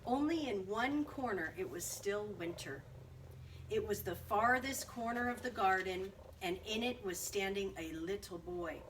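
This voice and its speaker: A woman narrating a children's story aloud in an expressive read-aloud style. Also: medium pitch, unhurried. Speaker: storybook reader. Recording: distant mic, faint background noise.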